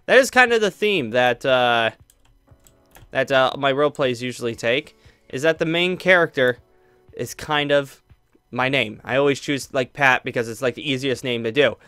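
A man's voice: mid-range voice, moderate pace, bright, forceful tone, smooth, no echo, soft background music. A young man casually rambling through a channel update for his viewers, upbeat and full of fillers and restarts. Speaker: YouTuber. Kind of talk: casual channel update while flying over a game world.

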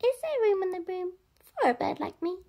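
Woman doing a high-pitched little bird. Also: big pitch swings, moderate pace, dark tone, exaggerated voice. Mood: polite, eager. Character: small, polite, eager female green bird.

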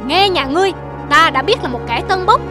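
A scornful, commanding general from an animated folk tale. The pitch is high and loud music plays behind. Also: female voice, a little fast.

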